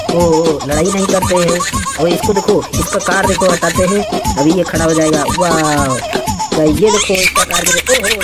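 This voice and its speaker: A host narrating toy play for children in a playful, exclamatory way, full of repeated little cries of wonder. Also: mid-range voice. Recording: very noisy background.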